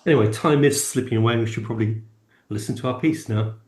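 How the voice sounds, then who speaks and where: A man's voice: medium pitch, fast talker, darkish tone. A man co-hosting a podcast, discussing casually with tentative, circling phrasing. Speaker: podcast co-host. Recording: roomy, echoing sound.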